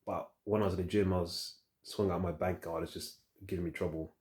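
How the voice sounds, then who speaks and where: A man with a low, relaxed, dark-toned voice speaks off the cuff as a fitness vlogger. He thinks aloud in a halting, rambling way, circling back over phrases as he talks into his phone. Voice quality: deep voice. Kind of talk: casual rambling vlog talk to the phone camera. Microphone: handheld phone.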